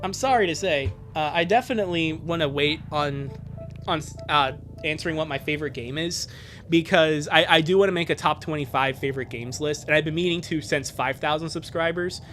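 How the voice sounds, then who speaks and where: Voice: mid-range voice, a little fast, fairly bright tone. A streamer in their twenties reading fan fiction aloud on a live stream, jokey and often breaking off into asides. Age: 20s. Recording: music behind the voice. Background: music.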